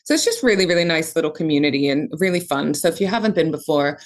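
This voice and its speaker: A woman in her forties from Palestine chats easily as a podcast co-host. Her voice sits a bit low, and she talks in a loose, chatty way, dropping the odd swear word. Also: animated, quick pace. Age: 40s. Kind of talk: casual chat.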